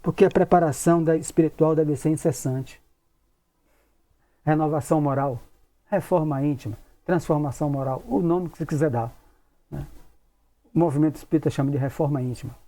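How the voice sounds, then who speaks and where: Male, 60s. Study group lecturer, soft mellow tone, slightly high voice, conversational with acted-out questions. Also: a little fast, long pauses. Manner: conversational, acts out imagined questions, repeats tag questions. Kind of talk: informal study talk, reading and commenting.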